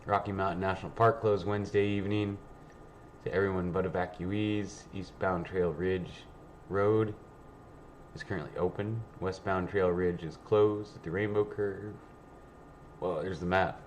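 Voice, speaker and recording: A man with a lowish voice hosts a casual livestream. He rambles and reads aloud in a blunt, offhand, sweary manner. Faint noise sits behind him. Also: steady tone, normal speed. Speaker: livestream host.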